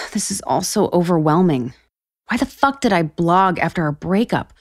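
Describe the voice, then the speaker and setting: Exasperated woman writer in a romcom audio drama, lowish voice, really animated. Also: moderate pace. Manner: sarcastic, exasperated. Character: overwhelmed, sardonic, foul-mouthed heartbroken woman author. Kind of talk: audio romantic comedy acting.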